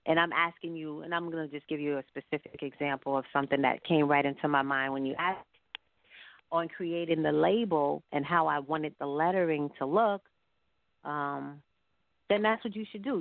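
A woman's voice: low voice, vocal fry, no room sound. A woman chatting casually as an interview guest, speaking emphatically and repeating phrases to drive a point home. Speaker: singer and entrepreneur, interview guest. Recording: thin telephone line.

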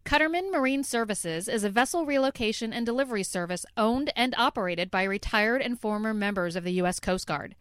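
Female commercial voiceover: polished and deliberate, very clear tone, dry recording with no room sound. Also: fairly high pitch, lively intonation, dry recording. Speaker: advertisement announcer. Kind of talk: scripted promotional narration.

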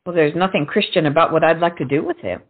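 An actress playing a bawdy, teasing young confidante with a knowing manner in a stage comedy. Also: female voice, deep voice, quick pace, phone-quality sound. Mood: sly teasing. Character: Elizabeth, cheeky, bawdy young lady confidante.

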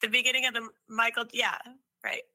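A woman's voice: on the high side, flat delivery, fairly quick, bright, forceful tone, dry recording, rough recording. A woman in her thirties co-hosting a podcast in casual chat. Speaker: podcast co-host.

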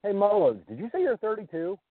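A man's voice: high-pitched, very animated, fairly quick, dry recording. A man in his late thirties talking on a radio show in an upbeat, coaching way about pushing through workouts. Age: 30s. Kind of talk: casual radio chat. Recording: dry, tinny phone-like line.

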